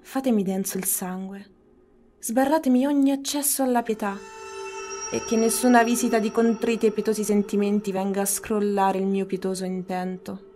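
A woman's voice: medium pitch, fairly even intonation, normal speed, dark tone, soft background music, lightly acted. An actress playing an ambitious, ruthless noblewoman in a Shakespearean radio drama, solemn and declamatory. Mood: dark invocation.

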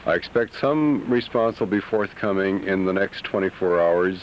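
A middle-aged American man answering an interview carefully, with deliberate hesitations. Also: low voice. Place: outdoors by a brick building. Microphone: handheld reporter's microphone. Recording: muffled, noisy 1970s newsreel sound.

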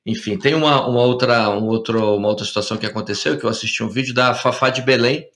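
A man's voice: medium pitch, quick pace, fairly bright tone. Chatty man hosting a podcast. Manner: chatty, checks in with tag questions. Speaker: podcast host. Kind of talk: casual interview, telling anecdotes.

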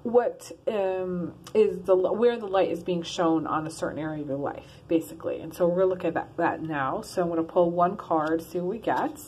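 Woman, tarot reader, casual chat, lowish voice, rambling with ums. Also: moderate pace, few pauses, darkish tone, slight background noise. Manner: rambling, many ums and restarts. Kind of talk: casual one-card tarot reading. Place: in her car.

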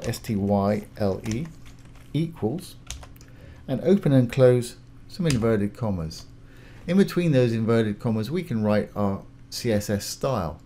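A man hosting a casual step-by-step software tutorial, informally talking through each action as he goes. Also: lowish voice. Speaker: tutorial host. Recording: clear background noise, keyboard typing.

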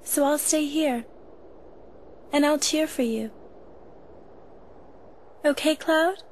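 An actress playing a gentle, caring, hopeful young woman in a video game, speaking softly and earnestly. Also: sits high, slow pace, a little hiss or hum. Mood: encouraging. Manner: soft, earnest, reassuring. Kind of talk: video game cutscene acting.

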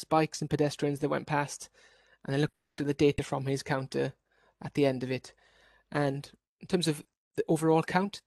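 A man's voice: fairly high pitch, vocal fry, no echo. A man from Wales giving an online workshop presentation as a researcher, explaining steadily with occasional restarts over a video call.

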